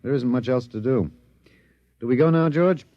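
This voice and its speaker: An actor playing a weary but brave survivor of humanity in a 1950s radio drama, hesitant and earnest. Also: male voice, medium pitch, normal speed, mellow, no room sound, close to an everyday voice. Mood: weary, resigned. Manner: hesitant, earnest, emphatic when pleading. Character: weary, brave widowed man, among humanity's last survivors. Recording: dry, dull vintage radio recording.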